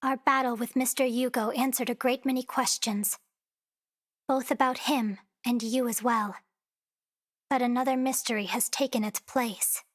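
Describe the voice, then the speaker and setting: Actress as a high-voiced, formal young woman. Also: fairly even intonation, close to an everyday voice. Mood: composed, curious. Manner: formal, measured. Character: formal, composed young woman, analytical and polite. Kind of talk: video game voice acting.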